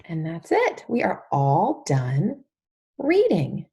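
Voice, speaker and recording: A woman's voice: fairly low pitch, wildly swooping pitch, slow pace, vocal fry, warm tone. A woman leading a picture-book read-along for young children, talking in an exaggerated, sing-song way and drawing out key words.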